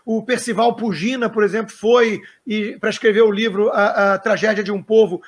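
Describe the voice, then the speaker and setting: A man's voice: high voice, steady tone, normal speed. A man hosting an interview, talking in long conversational runs with frequent restarts and hesitations. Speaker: interviewer, show host.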